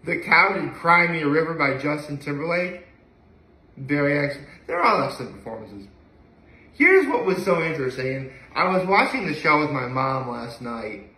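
A young man talks casually to a camera a few feet away. His voice is bright and forceful, pitched a little higher than most men's. He rambles with enthusiasm, and the room adds a clear echo. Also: big pitch swings, normal speed. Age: young adult. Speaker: vlogger. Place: living room, indoors. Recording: echoey room, mic at a distance.

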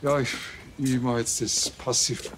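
A man's voice: medium pitch, level tone, a little slow, background noise, lightly acted. Man playing a plaintive Bavarian village cop. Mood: uneasy. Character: self-pitying middle-aged male village policeman. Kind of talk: TV crime comedy acting.

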